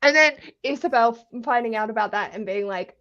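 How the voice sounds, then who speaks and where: A woman co-hosting a book club livestream, chatting casually with animated reactions and frank slang. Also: a little high, a little fast, lo-fi sound. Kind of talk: casual chat.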